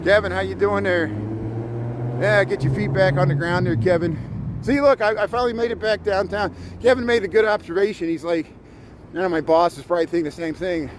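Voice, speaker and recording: A man's voice: high-pitched, a little fast. A middle-aged man from Indiana with a mixed Chicago-Midwestern accent, chatting casually with his viewers while walking city streets on a live stream, often repeating words. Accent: Chicago-Midwestern mix. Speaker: livestream host. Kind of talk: live walking tour chat. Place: outdoors on snowy city streets. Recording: street noise behind. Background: traffic and city noise.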